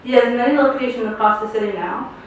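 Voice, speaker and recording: A woman's voice: on the high side, quick pace, a little hiss or hum. A woman presenting an awards ceremony, reading a formal introduction of the honoree aloud. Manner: formal reading, loud announcing. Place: large conference hall. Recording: distant, echoey, dull hall sound.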